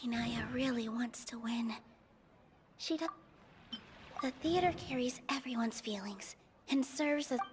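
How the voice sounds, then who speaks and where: An actress playing a gentle but determined young female theater performer, speaking earnestly and carefully in video game dialogue. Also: on the high side, unhurried, pauses often, background noise, close to an everyday voice. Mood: thoughtful, hushed.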